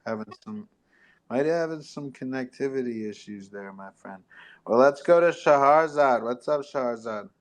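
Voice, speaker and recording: A man's voice: medium pitch, expressive, normal speed. Encouraging man hosting a live show. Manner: encouraging, informal, calls people "my friend". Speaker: host of a live community audio show. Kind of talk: promotional live-show hosting, addressing listeners and guests.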